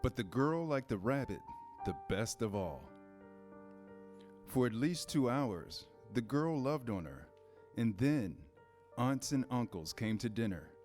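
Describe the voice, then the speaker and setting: A man narrating a children's story from a script in a measured, deliberate way. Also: mid-range voice, a little mellow. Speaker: storybook narrator in a reader's theater. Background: instrumental music.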